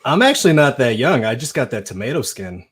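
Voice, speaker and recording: Man, thirties, co-host, husky, very lively pitch, relaxed chat. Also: fairly quick. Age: 30s. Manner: laid-back, laughs often. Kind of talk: casual chat.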